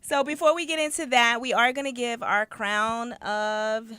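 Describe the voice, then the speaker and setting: A woman from New York co-hosting a casual podcast, playful and quick with jokes. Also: on the high side, fairly even intonation, sharp tone, smooth, dry recording. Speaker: podcast co-host. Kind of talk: casual chat.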